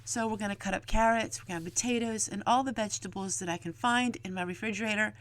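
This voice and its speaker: Casting: middle-aged woman, folksy homemaking vlog host, medium pitch, dry recording. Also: fast talker, no echo. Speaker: homesteading vlogger and host. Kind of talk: homemaking vlog narration, explaining chores and cooking.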